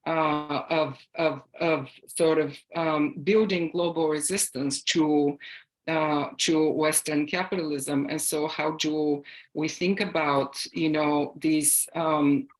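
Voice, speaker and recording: A woman from Bulgaria answering an audience question on a video call, speaking haltingly with many fillers and restarts. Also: low-pitched, fairly even intonation, poor audio. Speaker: guest speaker.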